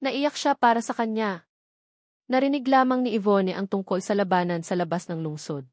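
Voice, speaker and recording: Woman, audiobook narrator, scripted novel reading, mid-pitched voice, dry close recording. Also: fairly even intonation, normal speed, darkish tone, dry recording.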